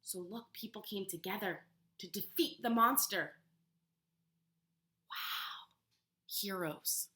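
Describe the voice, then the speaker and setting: Woman teacher, children's read-aloud, bright voice, expressive, echoey room. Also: medium pitch, slow, pauses often. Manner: expressive, animated storytelling. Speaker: preschool teacher.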